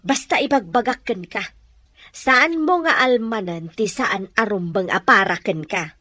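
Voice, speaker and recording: A woman's voice: medium pitch, unhurried, pushed and bright, dry recording. A woman playing a principled older sister in an audio drama, protesting and scolding. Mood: indignant. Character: principled older sister refusing a dishonest scheme. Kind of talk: amateur audio drama acting.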